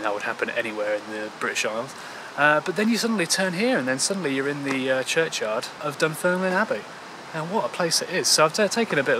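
A man in his thirties talks casually to a handheld camera as he walks outdoors. His delivery is halting, trailing into asides, at an ordinary male pitch. Clear ambient noise sits behind his voice. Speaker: travel vlogger. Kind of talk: casual walking commentary. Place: outdoors in a park and old town streets. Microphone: handheld camera at arm's length.

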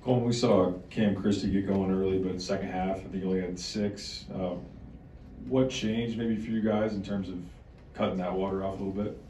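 A man's voice: deep voice, steady tone, a little fast, warm tone, distant mic. A man asking questions as a reporter at a press conference. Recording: echoey room, off-mic, faint noise.

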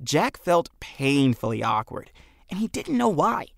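A man's voice: a little high, sing-song, measured pace, gravelly, fairly bright tone, dry recording. A man narrating a story expressively as an audiobook reader, close on a studio microphone.

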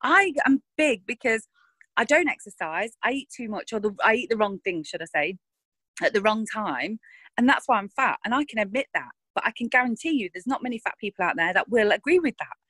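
A woman's voice: fairly high pitch, lively intonation, brisk, a bit bright, dry recording. An actress talking frankly in a casual interview, thinking aloud and restarting her sentences.

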